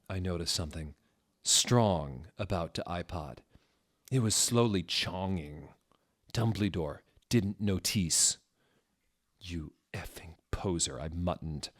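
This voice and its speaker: A man narrating a comic dramatic reading of a story in a mock-serious manner, pronouncing every odd word deliberately. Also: sits low.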